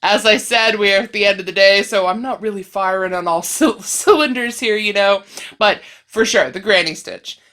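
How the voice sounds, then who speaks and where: A woman's voice: medium pitch, normal speed, a bit bright. A woman hosting a casual crochet chat video, her voice a little scratchy and tired at the end of a workday. She chats and laughs easily and sometimes loses her train of thought.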